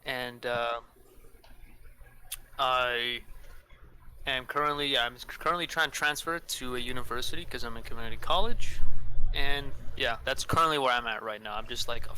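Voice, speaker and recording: A man's voice: medium pitch, bright, forceful tone, a little hiss or hum, low-quality recording. A young man who grew up in the United States with an American accent, a guest on a casual podcast who rambles and hesitates with many restarts. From Iran.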